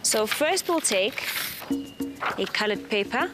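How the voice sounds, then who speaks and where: A woman's voice: a little high, lively intonation, slow. A woman presenting a children's TV show, giving cheerful, patient step-by-step craft instructions. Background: music.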